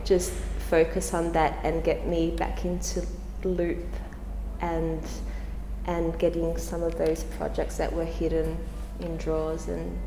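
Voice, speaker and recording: A woman's voice: deep voice, completely flat delivery, a little slow, gravelly. A woman from Portugal, speaking thoughtfully about her art in an interview. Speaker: visual artist. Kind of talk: interview testimonial. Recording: reverberant hall with faint music.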